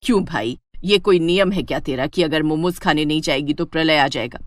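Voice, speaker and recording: A woman's voice: low-pitched, brisk, no room sound, clean studio sound, voice pushed down, lightly acted. Woman voicing a scolding older village mother-in-law. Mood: irritated scolding. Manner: sharp, accusatory, nagging.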